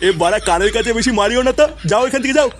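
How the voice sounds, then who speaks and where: A man's voice: extremely high pitch, rapid-fire. A man voicing a cranky, foul-mouthed grandfather who scolds and grumbles in an amateur comedy cartoon. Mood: weary grumbling.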